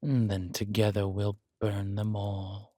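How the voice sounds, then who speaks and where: A man playing a controlled, vengeful villain king who speaks with measured, quiet menace in an intimate audio roleplay. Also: fairly low pitch, flat delivery, dry recording, clean studio sound. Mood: scheming, menacing.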